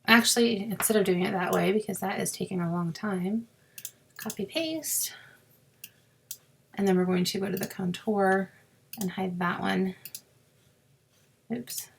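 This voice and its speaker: A woman with a sore, scratchy throat, explaining a crafting software task step by step in a chatty way and muttering to herself as she clicks. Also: medium pitch, measured pace, long pauses. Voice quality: raspy with creaky fry. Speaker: crafting tutorial host. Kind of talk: screen-recorded software walkthrough.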